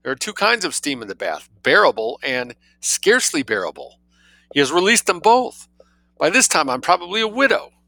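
Man doing shrill upper-class wife, raging, bitter, high voice, storybook. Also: very animated, a little fast, pushed and bright, no echo, heavily acted, low-quality recording. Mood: frantic.

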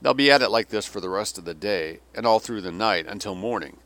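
A bitter, world-weary old Russian general, acted by a male audiobook reader, ranting and sneering with theatrical scorn. The voice is fairly low and very bright, with a dry, close sound. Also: animated, a little fast, no echo. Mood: resigned, cynical. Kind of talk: dramatic audiobook character reading.

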